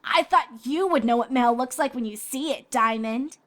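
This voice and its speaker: Woman doing a brash, high-voiced young cartoon filly. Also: normal speed, a bit bright, natural voice. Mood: sarcastic, challenging. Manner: sarcastic, challenging. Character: brash, sarcastic young pegasus filly, teasing and stubborn. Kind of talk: cartoon-style character reading of fan fiction.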